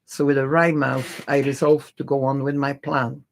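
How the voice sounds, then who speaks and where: Someone reading an old first person adventure novel out loud, mid pitched voice. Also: little pitch movement, moderate pace, creaky. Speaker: reader.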